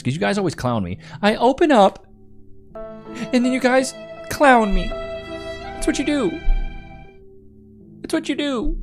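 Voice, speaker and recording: A young man, hosting a live stream and chatting casually while reading comments, laid-back and rambling. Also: medium pitch. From Texas. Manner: laid-back, rambling, repeats himself, says "bro".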